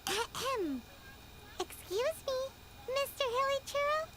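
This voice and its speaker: A woman voicing a tiny childlike companion in a video game, cheeky, blunt and excitable, with a squeaky exclamatory delivery. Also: takes it slowly, background noise, exaggerated voice. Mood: coaxing. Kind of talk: video game character dialogue.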